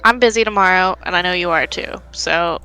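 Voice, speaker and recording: A woman's voice: lowish voice, fairly quick, sharp tone, smooth, soft background music, poor audio. A woman voicing an aloof, laconic male love interest in a visual novel, offhand and casual. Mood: busy, curt.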